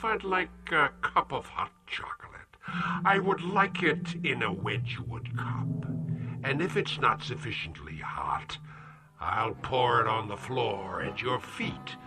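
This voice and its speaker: An actor playing a domineering old uncle who sneers insults and drops into a menacing whisper, in a radio drama. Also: male voice, medium pitch. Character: cruel domineering elderly uncle.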